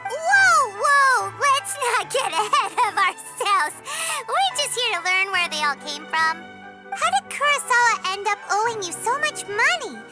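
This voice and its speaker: A woman's voice: very high voice, very animated, measured pace, heavily acted. A woman voicing a small, spirited, bossy and curious fairy girl in a video game, speaking in an earnest, exclamatory way. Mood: excited. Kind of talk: video game cutscene voice acting. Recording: loud music behind voice. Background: game music.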